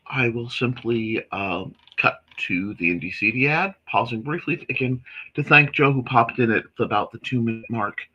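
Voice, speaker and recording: A man hosting a casual morning livestream, his voice a bit hoarse and scratchy, talking in an apologetic, rambling way with lots of fillers. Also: mid-range voice, bright, forceful tone, dull audio. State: slightly hoarse from a reaction. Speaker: host of a creative morning show.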